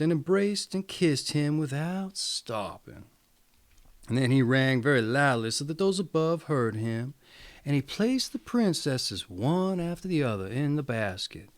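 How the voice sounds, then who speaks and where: A man narrates a storybook fairy tale in a theatrical, emphatic way. His pitch is mid-range but swings widely from phrase to phrase. The sound is close and dry, with no room around the voice. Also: no echo. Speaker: storyteller reading fairy tales.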